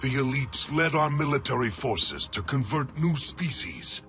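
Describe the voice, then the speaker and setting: An actor playing a weary alien warrior elder in a video game, speaking gravely and with weight. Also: male voice, medium pitch, very animated, unhurried, music in the background. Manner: grave and brooding.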